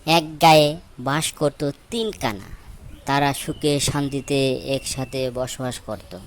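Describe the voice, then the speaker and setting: A man's voice: mid-range voice, steady tone, takes it slowly. A man working as a storyteller, reading a scripted fable for children.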